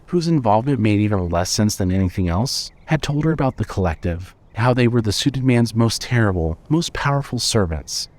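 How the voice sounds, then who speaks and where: A man's voice: fairly low pitch, a little mellow. A man reading scripted narration for a horror fiction audio drama.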